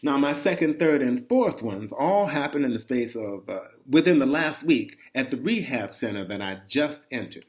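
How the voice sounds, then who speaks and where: A man reading a first-person chronicle aloud as a dramatic storyteller, conversational and expressive, with deliberate emphasis on his phrasing. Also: mid-range voice.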